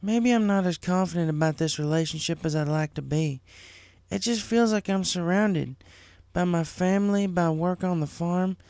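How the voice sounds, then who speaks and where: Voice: mid-range voice, moderate pace, darkish tone, no room sound, clean studio sound, pitched above the performer's own voice, close to an everyday voice. A performer voicing an earnest, troubled young female farm pony in a story reading. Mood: troubled, tearful.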